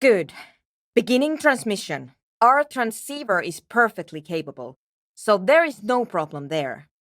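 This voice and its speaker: An actress playing a cool, scientifically curious young woman programmer in a video game, speaking in a measured, technical, dryly formal way. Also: mid-range voice, big pitch swings, a bit bright, no echo, studio quality. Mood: focused, analytical. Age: young adult. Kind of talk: video game character voice acting.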